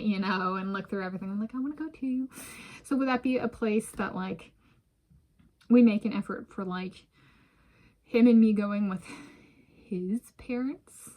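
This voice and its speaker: An American woman in her thirties talking casually in a personal vlog, halting and rambling as she circles back and repeats herself. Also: mid-range voice. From United States. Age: 30s. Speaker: vlogger.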